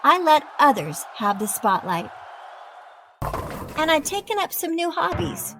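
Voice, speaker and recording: A woman voicing a proud, dramatic wheel of cheddar in an expressive children's storybook read-aloud. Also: sits high, noisy background, pitched above the performer's own voice, heavily acted. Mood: calm, reflective. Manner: theatrical, expressive, deliberate delivery.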